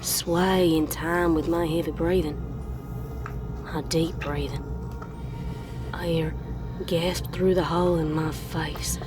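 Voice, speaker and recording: Woman as a slow, haunted, whispery horror pony. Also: low voice, steady tone, music in the background. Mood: morbid fascination. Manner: slow, deliberate, breathy, often dropping to a whisper. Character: disfigured pony, haunted and obsessed with the hole in its face. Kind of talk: slow horror monologue, scripted dramatic reading.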